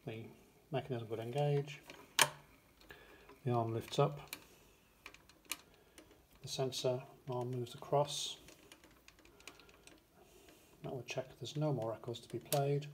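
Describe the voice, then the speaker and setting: A man's voice: medium pitch, a little mellow. A man giving a hands-on, step-by-step demonstration in short, matter-of-fact phrases. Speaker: demonstrator of a vintage record player. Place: indoors, beside a wooden radiogram. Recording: clear background noise with mechanical clicks.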